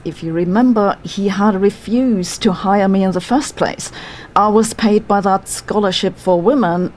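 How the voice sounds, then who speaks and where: A woman's voice: on the low side, darkish tone, a little hiss or hum. Dry German-accented woman narrating. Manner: dry, sardonic, matter-of-fact. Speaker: physicist telling her story. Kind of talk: scripted first-person narration.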